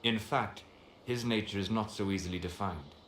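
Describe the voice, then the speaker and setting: A man's voice: lowish voice, normal speed, fairly bright tone, echoey room, slight background noise, close to an everyday voice. A man playing a composed, scholarly mage in a fantasy video game, articulate, precise and certain. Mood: measured. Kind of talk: dramatic video game acting.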